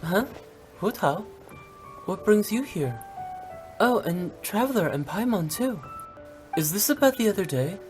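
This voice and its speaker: A woman's voice: medium pitch, expressive, a little mellow, soft background music, lightly acted. A woman doing a chatty young opera singer. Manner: quick, chatty and curious. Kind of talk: video game voice acting.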